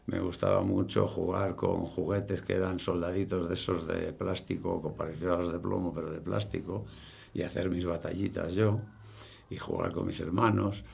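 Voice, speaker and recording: Older man, early 70s, Castilian accent, deep and very gravelly, halting, informal recollections. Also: darkish tone. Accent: Castilian Spanish. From Spain. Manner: halting, repeats words as he recalls. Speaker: interviewee. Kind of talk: casual reminiscing.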